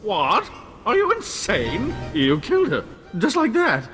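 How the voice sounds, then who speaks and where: A man's voice: sits high, huge pitch swings, unhurried, a bit bright, soft background music, full character voice. A man voicing a pampered young nobleman held captive, whiny, indignant and stammering. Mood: shaken.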